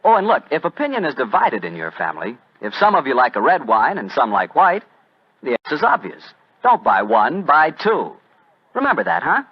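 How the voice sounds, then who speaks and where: A male American announcer with folksy salesmanship and a very lively, swooping delivery. His voice comes through a muffled vintage radio recording. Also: a little high, normal speed, breathy edge. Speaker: announcer and sponsor pitchman. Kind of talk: 1940s radio advertising and hosting.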